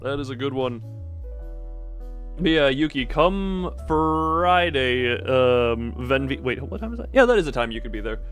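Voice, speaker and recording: A man's voice: mid-range voice, animated, unhurried, a bit bright, soft background music. A man hosting a casual livestream, chatting with viewers in a jokey, playfully mock-dramatic way. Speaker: livestream host.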